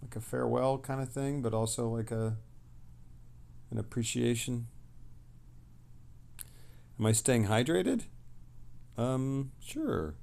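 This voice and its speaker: A man casually hosting a livestream, reading messages aloud and replying in a rambling, halting way that trails off. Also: mid-range voice, expressive, vocal fry, a little mellow.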